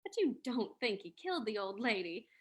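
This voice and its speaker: A woman's voice: on the high side, big pitch swings, fairly quick, slightly rough. A woman playing a detective's inquisitive female companion in an old-time radio drama reading. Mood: thoughtful.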